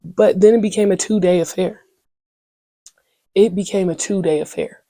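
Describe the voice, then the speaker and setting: Young woman, podcast host, casual solo chat, slangy and rambling, mellow tone, close dry mic. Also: fairly low pitch, normal speed, no echo. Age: young adult. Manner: candid, slangy and rambling, with many "you know what I'm saying" fillers.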